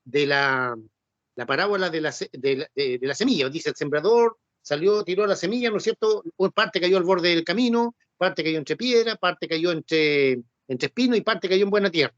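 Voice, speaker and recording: A man's voice: high voice, moderate pace, fairly bright tone, no echo. A man teaching a Bible class, talking conversationally and often asking rhetorical questions. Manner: conversational, repeats himself and asks rhetorical questions. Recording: dull, dry lo-fi recording.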